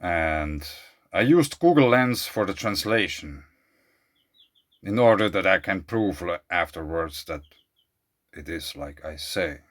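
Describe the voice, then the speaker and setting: A man whose first language is German, speaking English in an earnest, questioning monologue to camera, often trailing off quietly. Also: low voice, expressive, slow, pauses often. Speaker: video creator. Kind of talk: casual monologue to camera, reading out an email.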